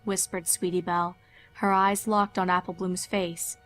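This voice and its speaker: A woman's voice: fairly low pitch, level tone, normal speed, fairly bright tone, soft background music. A woman reading a scripted horror story as a dramatic narrator.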